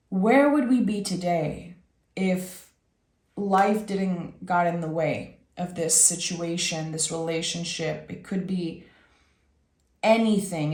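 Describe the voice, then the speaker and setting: A woman with a raspy voice giving a soft, reflective tarot reading, speaking directly to the listener as host. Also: on the low side, echoey room. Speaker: tarot reader and channel host.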